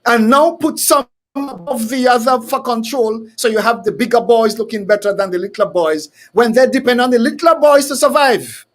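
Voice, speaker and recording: A man's voice: very high voice, normal speed. A man hosting a talk show, ranting emphatically and firing off rhetorical questions. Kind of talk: heated call-in style talk.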